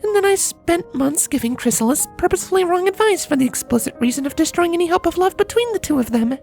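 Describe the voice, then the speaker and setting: A man's voice: extremely high pitch, animated, fast talker, creaky, darkish tone, faint music behind, pitched above the performer's own voice, full character voice. A man voicing a sulking female pony princess, whining and mumbling through a fan fiction story. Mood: sheepish, grudging.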